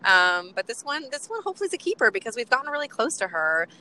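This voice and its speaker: A woman co-hosting from a car, bubbly and rambling, her voice worn low from chanting. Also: very animated, fast talker, pushed and bright, a little hiss or hum, poor audio. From US West Coast. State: voice getting lower from chanting. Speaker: co-host. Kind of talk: casual co-host chat, road trip update.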